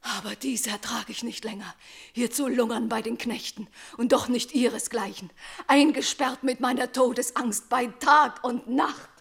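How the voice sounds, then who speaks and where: An actress playing a fearful, trapped young princess who longs for life, pleading breathlessly in a classical radio tragedy. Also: female voice, on the high side, steady tone, moderate pace, creaky, a bit bright, slightly rough, full character voice. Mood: desperate pleading. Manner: pleading, breathless, fearful, rising into desperate outcry. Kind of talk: classical German stage-tragedy radio acting.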